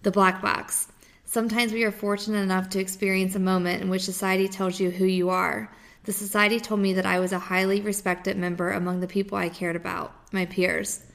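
A woman reading a memoir chapter aloud in a steady, even way. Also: mid-range voice, vocal fry. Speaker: reader of a book chapter.

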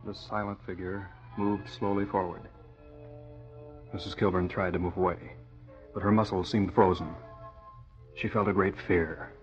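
A man's voice: low-pitched, level tone, normal speed, long pauses, soft background music. A man narrating a scripted vintage radio story in a dramatic style. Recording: muffled old radio recording.

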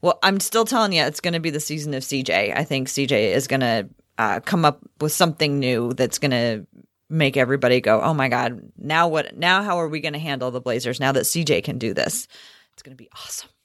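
A woman's voice: extremely low pitch, lively intonation, brisk, fairly bright tone, no room sound, clean studio sound. An American woman chatting casually about sports as a podcast co-host.

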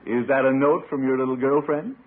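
A man's voice: mid-range voice, brisk, over a noisy crowd, close to an everyday voice. An actor in an old-time radio comedy playing a hearty, genial uncle, a jovial and courteous man of the house. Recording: muffled, noisy vintage radio recording.

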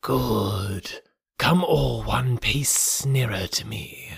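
A man voicing a slow, hissing, hypnotic giant python. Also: mid-range voice, creaky, husky, no echo, clean studio sound, full character voice. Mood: hypnotic, commanding. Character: huge old male python, slow, hypnotic, commanding. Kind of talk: dramatised storybook reading.

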